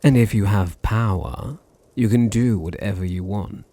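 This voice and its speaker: A man with a deep British voice playing a possessive, dominant vampire master in an intimate ASMR roleplay, teasing and condescending, with a gravelly, husky murmur. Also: mellow, dry recording. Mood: smug, possessive. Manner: slow, teasing, condescending, drops into breathy murmurs.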